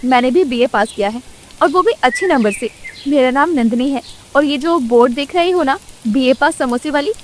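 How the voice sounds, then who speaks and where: A woman voicing a confident young woman who sells samosas and speaks calmly about her work in a storybook cartoon. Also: high-pitched, normal speed, a little hiss or hum, close to an everyday voice. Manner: calm, self-assured.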